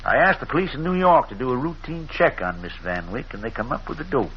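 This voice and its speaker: A man's voice: medium pitch, very animated, fast, lightly acted. An actor playing a shrewd rural lawman, folksy and plainspoken with a drawl, in a muffled old radio drama. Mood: calmly accusing. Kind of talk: 1940s radio drama acting. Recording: muffled, faintly noisy old radio recording.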